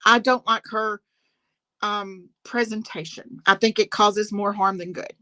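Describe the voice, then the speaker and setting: Bright-voiced Southern woman, emphatic. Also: medium pitch, fairly even intonation, a little slow. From Southern United States. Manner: emphatic, repeats phrases for stress. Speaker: live-stream host. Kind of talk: casual drama commentary chat. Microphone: phone on speakerphone.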